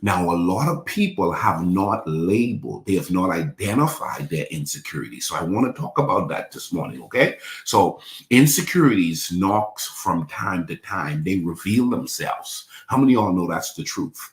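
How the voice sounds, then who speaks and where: A deep-voiced man in his forties preaches with an animated, widely swinging pitch. He repeats his lines and draws the congregation into affirmations. His voice carries a roomy echo. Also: normal speed. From Bahamas. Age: 40s. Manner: repetitive call-and-response preaching, leads congregation in affirmations. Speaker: pastor. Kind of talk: sermon.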